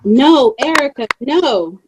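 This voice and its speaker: A woman's voice: sits high, lively intonation, takes it slowly, background noise. A woman playing a stern, protective mother in her late thirties at a table read. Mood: frantic.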